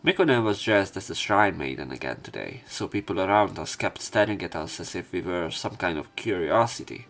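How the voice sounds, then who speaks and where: A man reading visual novel narration aloud as a let's play commentator, somewhat halting and sick with a cough. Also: low voice, animated, fairly bright tone, faint music behind.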